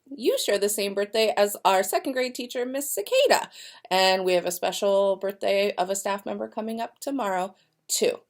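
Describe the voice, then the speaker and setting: Very animated woman reading to children. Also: medium pitch. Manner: expressive, addressing children directly, deliberate storytelling. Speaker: elementary school staff member giving announcements and read-alouds. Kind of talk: morning announcements and picture book read-aloud for young children.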